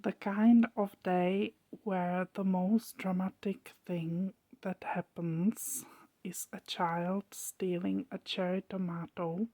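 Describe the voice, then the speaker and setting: A woman narrating a story as ASMR in a soft, hushed whisper, breaking it into short, deliberate phrases. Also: on the low side, flat delivery.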